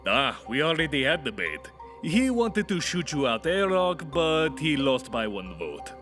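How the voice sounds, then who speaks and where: A man's voice: a little high, sing-song, unhurried, over music. A man playing a blunt comic crewmate who speaks in broken grammar in an audio drama. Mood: blunt glee.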